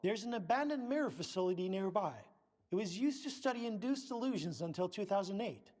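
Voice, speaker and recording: High-voiced, pompous male scientist. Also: animated, fairly quick, darkish tone. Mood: measured, helpful. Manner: formal, precise, condescending. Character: pompous middle-aged male scientist, director of research center. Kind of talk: video game dialogue.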